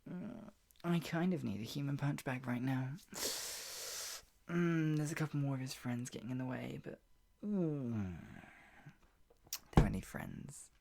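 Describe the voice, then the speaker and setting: A performer playing a possessive, sadistic yandere captor in an improvised ASMR roleplay, mocking and menacing, with a breathy voice that often drops toward a whisper. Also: mid-range voice, dark tone, slight background noise. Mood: jealous, simmering. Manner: menacing, mocking, slow drawn-out taunts broken by laughs and sighs.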